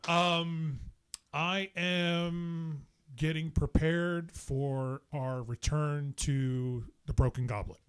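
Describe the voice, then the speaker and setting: A man guesting on a podcast, a bass player and singer, telling stories in casual chat with a slow, halting delivery full of restarts. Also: fairly even intonation, smooth.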